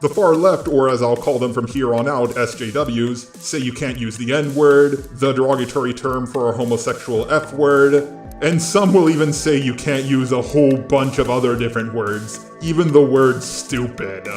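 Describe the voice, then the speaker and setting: A man reads scripted opinion commentary in a nasally voice with a middling pitch. The sound is roomy, picked up on a gaming headset, with music playing underneath. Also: normal speed. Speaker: video commentator.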